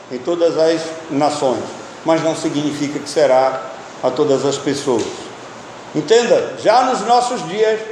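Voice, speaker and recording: A high-pitched man preaches in a teaching style, turning to the congregation with questions. His voice rings through a big hall with a long reverb tail, and a faint hiss sits underneath. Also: darkish tone. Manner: teaching, asks the listeners questions. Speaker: pastor and Bible teacher. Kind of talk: sermon.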